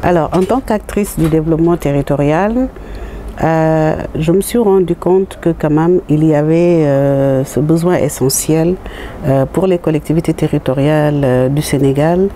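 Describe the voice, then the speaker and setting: A middle-aged woman with a Senegalese accent explains her work as an author in a halting, word-searching way. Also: low voice, dark tone. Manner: hesitant, halting, searching for words. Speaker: author and jurist presenting own book. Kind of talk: explanatory promotional interview. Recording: noticeable background noise.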